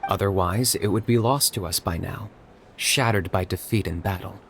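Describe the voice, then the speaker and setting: A calm, sensible young guy. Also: low voice, moderate pace, very noisy. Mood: encouraging. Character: calm, sensible, gently supportive young man. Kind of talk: video game voice acting.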